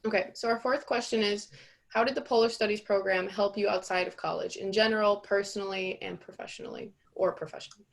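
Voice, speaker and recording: A young woman student asking questions in a relaxed, casual way, full of "like" and "you know". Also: medium pitch, fast, creaky. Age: young adult. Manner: relaxed, filler-heavy, uses like and you know. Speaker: student interviewer. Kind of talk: casual panel conversation.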